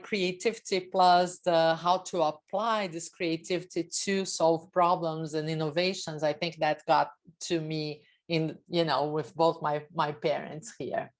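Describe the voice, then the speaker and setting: A middle-aged woman with a Brazilian accent and native Portuguese, giving an informal, chatty talk as a guest speaker. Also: deep voice. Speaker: guest speaker, technology executive. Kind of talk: informal online presentation.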